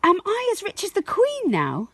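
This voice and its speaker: A woman voicing an eager little girl longing to be a jockey, asking pleadingly and excitably in a children's storybook. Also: very high voice, moderate pace, no echo, voice pushed up, full character voice. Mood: excited, hopeful.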